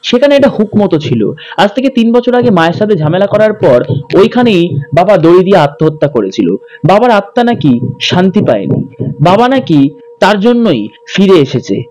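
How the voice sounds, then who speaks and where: A man's voice: sits high, very animated, moderate pace, darkish tone, poor audio. A man narrating in a dramatic, suspenseful ghost-story style as a channel host. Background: background music.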